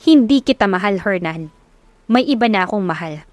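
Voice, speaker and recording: A woman's voice: mid-range voice, lively intonation, moderate pace, no echo, lightly acted. A woman voicing a wronged wife, resolute and emotional, in an audiobook romance drama. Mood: firm, angry. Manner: firm, emotional.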